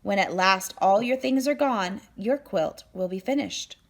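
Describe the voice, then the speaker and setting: A calm woman quiltmaker from a storybook, as a woman reader plays her. She is generous yet firm, and speaks in measured, assured phrases at an everyday pitch. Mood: matter-of-fact.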